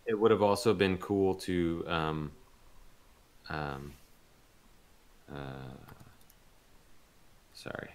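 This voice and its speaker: A man being interviewed as a voice actor, chatting casually and hesitantly, thinking aloud with pauses. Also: sits low, slight background noise.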